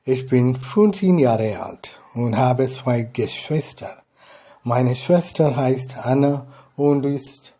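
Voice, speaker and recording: Slow, husky-voiced man teaching. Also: medium pitch, mellow. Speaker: language teacher. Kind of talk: slow instructional lesson with repetition.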